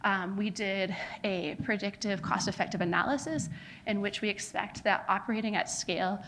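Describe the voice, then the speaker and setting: A woman's voice: mid-range voice, moderate pace, a bit bright, slight background noise, low-quality recording. An American woman giving a conference talk as a charity founder, earnest, with frequent fillers like "kind of" and "like". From United States. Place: conference venue.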